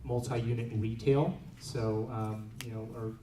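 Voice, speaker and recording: A man's voice: mid-range voice, steady tone, warm tone. Male panelist, hesitant delivery with frequent fillers, picked up from a distance in a noisy, echoey room. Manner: halting, many fillers and restarts. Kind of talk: panel discussion.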